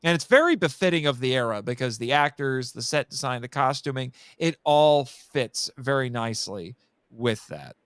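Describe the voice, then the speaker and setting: A man's voice: mid-range voice, lively intonation, moderate pace, a bit bright, clean tone, dry recording, clean studio sound. A man in a casual film discussion, speaking enthusiastically and repeating phrases for emphasis like an expert guest. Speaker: podcast guest and monster movie expert.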